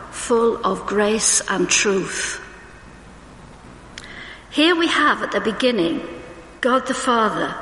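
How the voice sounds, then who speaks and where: A woman preaching a sermon in a church, conversational and reading scripture slowly, suffering from hay fever. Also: mid-range voice, fairly bright tone. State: hay fever, may cough. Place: church hall. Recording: roomy church with long reverb.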